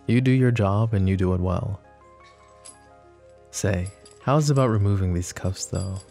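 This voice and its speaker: A deep-voiced, smug mafia boss acted by a man, flirty and possessive in an ASMR roleplay. He drawls slowly and intimately, mocking and teasing, with a gravelly fry in his voice. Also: mellow, faint music behind. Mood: taunting, then coaxing.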